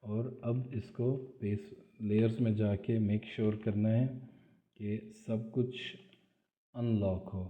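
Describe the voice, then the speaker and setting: A man's voice: lowish voice, fairly even intonation, slow. A man, explaining drawing steps aloud as he works through a screen-recorded CAD tutorial. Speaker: CAD drafting instructor. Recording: tinny phone-like audio.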